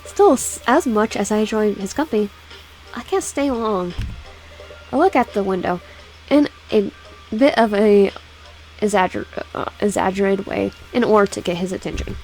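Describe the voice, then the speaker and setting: Young woman, game read-aloud, stumbling and giggly, lively pitch, soft background music. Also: normal speed, rough recording. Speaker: gamer reading a visual novel aloud. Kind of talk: reading game text aloud with side comments.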